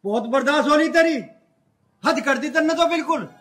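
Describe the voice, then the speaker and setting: A man's voice: very high voice, fairly quick, sharp tone. A man playing an older father-in-law who firmly reproaches his wife in a family drama skit. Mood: reproachful. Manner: firm, reproachful. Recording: echoey room.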